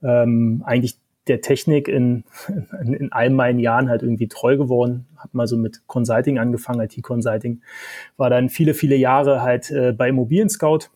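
A man with a Berlin accent talks through his career in a relaxed podcast chat. His voice is dark and mellow, with a slightly husky edge. He pauses often and leans on fillers as he thinks out loud. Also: mid-range voice. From Berlin, Germany. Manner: hesitant, many fillers. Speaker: podcast guest, tech executive. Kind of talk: casual podcast conversation.

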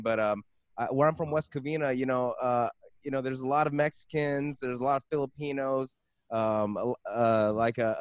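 A man hosting a casual podcast, talking loosely and filling his sentences with "you know." His voice sits at an everyday middle pitch. The sound is dry and close, with no room around it. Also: no echo. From Southern California. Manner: loose and chatty, heavy on fillers. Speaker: podcast host. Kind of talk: casual chat.

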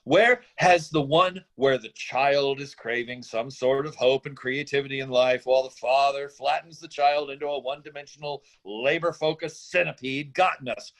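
A man's voice: medium pitch, steady tone, moderate pace, few pauses, fairly bright tone, natural voice. A man acting an idealistic, defensive drifter father in a screenplay reading, speechifying earnestly in a rambling way. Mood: impassioned, despairing. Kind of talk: screenplay table-read acting.

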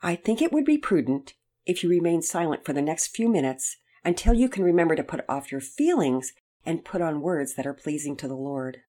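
A woman voicing a calm, firm storybook father who gently admonishes in a measured, plain-spoken way. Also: low-pitched. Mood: firm, admonishing.

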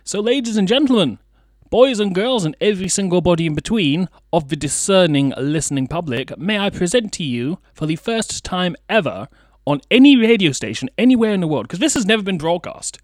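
A man's voice: sits high, sing-song, fairly quick, dry recording, studio quality. A young man presenting a radio show in a chatty, playfully theatrical way. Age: young adult. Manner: theatrical, playful showman delivery.

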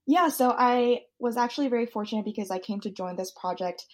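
A woman's voice: a little high, fairly quick. A young woman in her twenties, originally from South Korea, answering podcast interview questions fluently and thoughtfully, with some um fillers. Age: 20s. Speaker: student guest.

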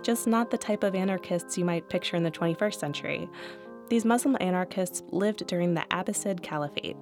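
A woman hosting a podcast and reading scripted narration in a clear, even way. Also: lowish voice, fairly quick, music in the background. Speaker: podcast host.

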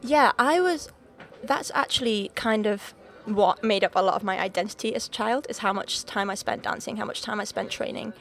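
A woman's voice: medium pitch, normal speed, hardly any pauses, a bit bright, soft background music. A young woman from North Wales with a Liverpool-leaning accent, chatting as a podcast guest with lots of fillers, restarts and laughs. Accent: Liverpool-leaning North Welsh. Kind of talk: casual interview chat.

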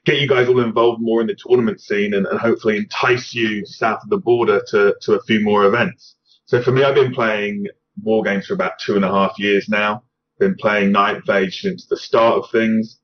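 A man's voice: on the low side, level tone, normal speed, slight background noise. A man with a Scottish accent, talking casually as a podcast guest and often restarting his sentences. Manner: rambling with frequent restarts. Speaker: podcast guest, tournament organizer. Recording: slightly muffled podcast audio.

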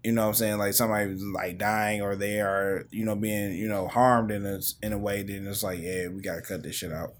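A man in his thirties with a Midwestern American accent, co-hosting a casual podcast chat and telling stories, acting out quotes with big jumps in pitch. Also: little pitch movement, a little fast, hardly any pauses. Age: 30s. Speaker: podcast co-host.

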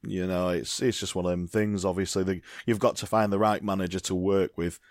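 Low-voiced man rambling about football. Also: flat delivery, a little fast, no room sound, studio quality. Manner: rambling, repeats "you know, I mean". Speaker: football podcast co-host. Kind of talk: casual chat.

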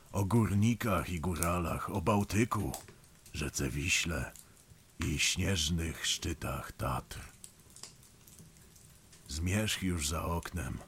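A man narrates a folk tale in a solemn, unhurried way, his voice extremely deep. He speaks slowly over a faint ambient bed. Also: a bit bright, a little hiss or hum. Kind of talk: scripted storytelling narration.

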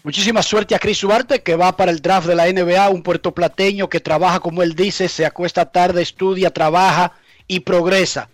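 Male sports anchor, high voice, punchy read, dry studio, faint music bed. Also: fairly even intonation, no room sound. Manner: emphatic, quick presenting. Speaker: sports news presenter. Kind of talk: news presenting.

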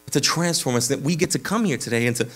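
A pastor preaching a sermon in a church, casual and energetic, with slang and frequent restarts. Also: male voice, medium pitch, quick pace. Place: church sanctuary.

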